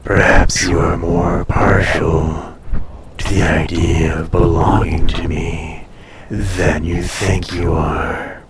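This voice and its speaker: A man playing an ancient dragon familiar in an intimate roleplay audio, tender, possessive and teasing, soothing and often dropping to a breathy murmur. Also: sits low, level tone, takes it slowly, reverberant, recorded from a distance, over a noisy crowd. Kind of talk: intimate roleplay audio drama, personal attention.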